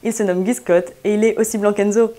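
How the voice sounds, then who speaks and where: A woman's voice: medium pitch, steady tone, a little fast, warm tone. A young woman explaining news items in a student bulletin, in a scripted, explanatory way. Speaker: news correspondent.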